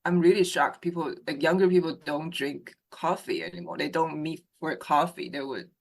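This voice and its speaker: A woman from Taiwan, a podcast guest, giving lively and opinionated views in a casual chat over a call. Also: low voice, moderate pace, gravelly.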